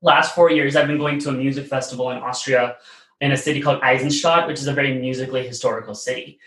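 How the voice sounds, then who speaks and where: A man's voice: medium pitch, fairly even intonation, fast, a bit bright. A man in his late twenties from the United States, telling stories as an interview guest in a chatty, rambling way full of "like" and "you know.". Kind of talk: casual interview storytelling. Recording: roomy echo.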